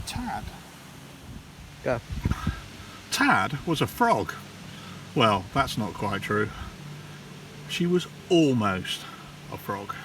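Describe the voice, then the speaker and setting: A man, a primary school teacher, reads a children's storybook aloud in a theatrical, deliberate way with dramatic pauses. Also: fairly high pitch. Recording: distant mic, hissy background noise.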